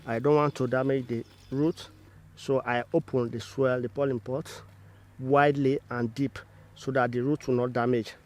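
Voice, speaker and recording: A man's voice: mid-range voice, level tone, moderate pace, darkish tone. A man giving step-by-step instruction as an agricultural trainer, in methodical, formal wording. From Sierra Leone.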